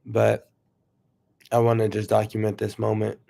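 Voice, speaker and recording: A man casually chatting to viewers on his livestream, rambling and excitable, sniffling from allergies. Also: on the low side, moderate pace, gravelly. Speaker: YouTuber livestreaming.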